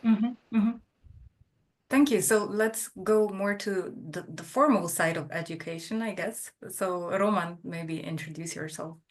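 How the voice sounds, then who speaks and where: A woman from Slovenia moderating an online panel, prompting the speakers in a relaxed, friendly way over a video call. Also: medium pitch, unhurried.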